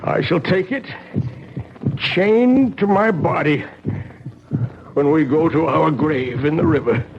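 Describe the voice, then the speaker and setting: An actor playing a tormented, embittered middle-aged husband, solemn and theatrical, in a radio drama confession. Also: male voice, fairly high pitch, slow, pauses often, a little hiss or hum. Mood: brooding, anguished. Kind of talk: 1970s radio drama acting. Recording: muffled old radio, loud music underneath.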